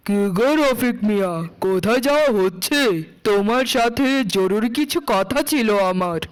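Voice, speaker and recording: Guy doing a chatty village matchmaker, high smooth voice, pushy, kids cartoon. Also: normal speed, lightly acted, low-quality recording. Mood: persuasive. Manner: persuasive, familiar. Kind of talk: children's cartoon voice acting.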